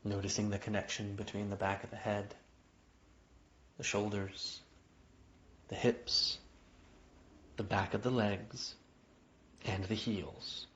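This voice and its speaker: Low-voiced man guiding meditation, muffled recording. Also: level tone. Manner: gentle, unhurried phrasing with long pauses, invitational. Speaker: yoga nidra guide. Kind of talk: guided meditation narration. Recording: dull, muffled lo-fi audio.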